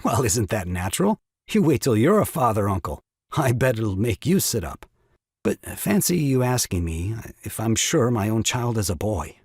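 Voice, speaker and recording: An actor playing an excitable, scheming young father and ingratiating nephew in an audio farce, flustered and wheedling. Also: on the low side, moderate pace, breathy edge, no room sound, studio quality, exaggerated voice. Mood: excited. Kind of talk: stage farce audio drama acting.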